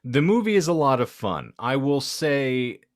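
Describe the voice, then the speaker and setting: A man's voice: mid-range voice, big pitch swings, unhurried. A man co-hosting a podcast in casual book chat, talking in a wordy, wry way with theatrical turns of phrase. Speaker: podcast co-host.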